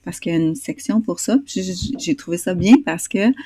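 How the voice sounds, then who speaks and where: Chatty Québécoise host, mellow voice. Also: female voice, fairly low pitch, a little fast, slightly rough, no echo. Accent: Québécois French. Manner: warm, chatty, hesitant with fillers. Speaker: interview host. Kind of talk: casual interview chat.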